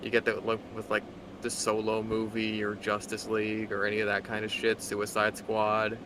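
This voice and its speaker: A man's voice: lowish voice, monotone, normal speed, noisy background. A man giving casual commentary as a co-host, blunt and sardonic, swearing freely. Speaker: co-host reading a story aloud.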